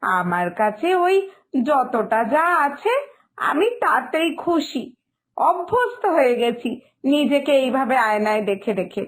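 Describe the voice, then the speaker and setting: A woman voicing a sensible, patient elder sister-in-law who gently chides, in an animated moral story. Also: high-pitched, measured pace. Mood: resigned, chiding. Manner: chiding, patient, big-sisterly.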